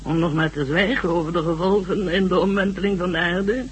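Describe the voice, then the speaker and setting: A man acting in a radio drama as an earnest, learned professor who lectures deliberately and emphatically. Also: high-pitched, steady tone, close to an everyday voice. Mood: grave, emphatic. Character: earnest learned male professor explaining a doomsday threat. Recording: noisy hissy old radio drama recording.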